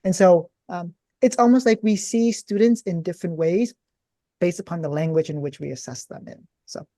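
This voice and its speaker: A woman hosting an education interview, speaking in a measured, appreciative way. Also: on the low side, warm tone, dry recording, studio quality. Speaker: host. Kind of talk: podcast interview.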